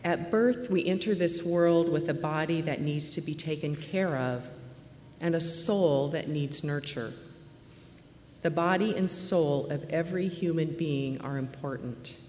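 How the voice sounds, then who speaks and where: A woman in her forties speaks softly and earnestly as a deaconess addressing a conference. Her low voice rings through a large, echoey hall, with a faint murmur of room ambience behind her. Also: unhurried, a little hiss or hum. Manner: soft-spoken, earnest, with some fillers. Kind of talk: conference talk.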